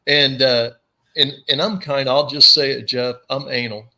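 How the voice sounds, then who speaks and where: Male podcast host, bright, forceful voice, lively storyteller. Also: normal speed. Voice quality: low commanding voice. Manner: animated storyteller, acts out voices. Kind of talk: casual storytelling chat.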